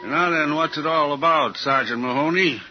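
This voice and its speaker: Fairly high-pitched, matter-of-fact lawman male actor. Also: normal speed, soft background music, lightly acted. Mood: calm, obliging. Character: unhurried, obliging local lawman. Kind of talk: 1950s radio thriller acting. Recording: dull, noisy 1950s radio recording.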